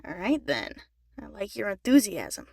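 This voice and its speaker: A woman voicing a smug, careless, calculating male talent manager with a showy, flattering way of talking. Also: mid-range voice, measured pace, creaky, dry recording, clean studio sound, exaggerated voice. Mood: amused, approving.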